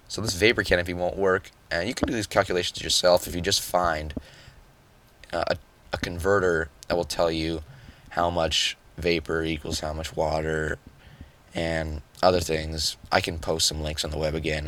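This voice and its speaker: A young man hosting a solo podcast, nasal and a bit hoarse after yelling the day before, explaining things casually with fillers. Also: low voice. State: hoarse from yelling, just woke up. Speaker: podcast host.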